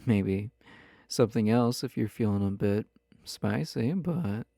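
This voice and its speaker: A man playing a slow-talking, flirty yandere husband. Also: lowish voice, mellow, no room sound, clean studio sound. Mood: coaxing, flirty. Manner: teasing, coy, smooth-talking with mock innocence. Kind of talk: intimate roleplay audio addressed to the listener.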